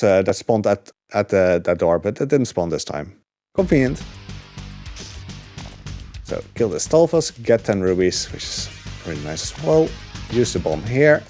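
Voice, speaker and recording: Low-pitched male speedrunner, easygoing, filler-heavy live commentary, faint game music behind. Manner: relaxed, rambling, many filler ums and uhs. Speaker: speedrunner commentating his run.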